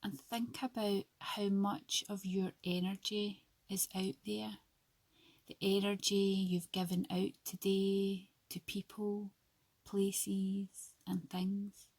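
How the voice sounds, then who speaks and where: A woman leads a guided yoga nidra for sleep at a very slow pace. Her voice is soft and breathy with a good deal of creak at the edges. She speaks in short, hushed, soothing phrases with long pauses between them. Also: mid-range voice, little pitch movement, dry recording.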